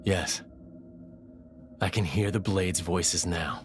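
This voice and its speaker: Cold, low-voiced villain leader. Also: male voice, takes it slowly. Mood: cold, grave. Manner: terse, solemn. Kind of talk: video game cutscene acting. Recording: loud music over the voice.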